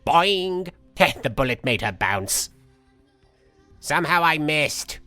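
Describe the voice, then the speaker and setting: A man voicing a flamboyant, dim and excitable megalomaniac cartoon villain, giving comic in-character game commentary in a pompous, theatrical way. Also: on the high side, slow, no room sound, faint music behind, heavily acted. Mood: amused. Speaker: in-character let's play host.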